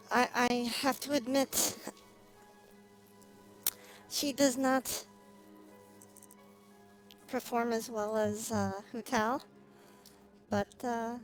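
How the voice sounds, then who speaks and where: High-voiced woman streamer, slow and halting. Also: rough recording. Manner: hesitant, thinking aloud with pauses. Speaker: vtuber game streamer. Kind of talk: casual live gameplay commentary. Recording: music behind the voice.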